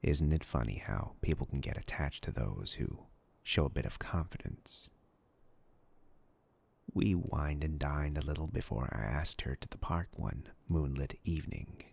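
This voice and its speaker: A man voicing a sinister, arrogant demon in a dark roleplay monologue, taunting and seductively menacing, with a husky, growling voice. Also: very deep voice, animated, heavily acted. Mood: sly relish. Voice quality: gravelly, husky, breathy growl. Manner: slow, taunting, theatrical.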